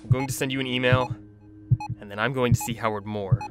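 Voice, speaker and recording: An actor playing an earnest, defiant scientist in an audio drama, speaking with sardonic intensity. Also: male voice, medium pitch, fairly even intonation, fairly quick, music in the background, lightly acted. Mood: urgent. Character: earnest, defiant male scientist, bitter at corporate greed. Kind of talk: dramatic radio play acting.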